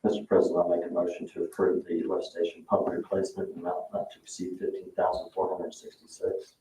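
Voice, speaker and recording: A man's voice: low-pitched, monotone, quick pace, mellow. A man speaking as a council member in a public meeting discussion. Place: indoor council meeting room. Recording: echoey distant room pickup, faint noise.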